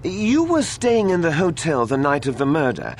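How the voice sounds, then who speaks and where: Pompous, animated courtroom prosecutor. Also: male voice, fairly high pitch, normal speed, slight background noise. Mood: combative. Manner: pompous, formal. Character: smug, combative male courtroom prosecutor. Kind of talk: theatrical courtroom game dialogue.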